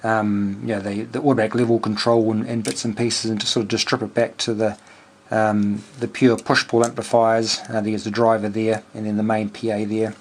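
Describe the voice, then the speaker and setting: Halting New Zealand man, noisy recording. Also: lowish voice, level tone. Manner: rambling, halting, thinking aloud. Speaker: amateur radio operator and homebrew electronics hobbyist. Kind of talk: casual unscripted technical walkthrough.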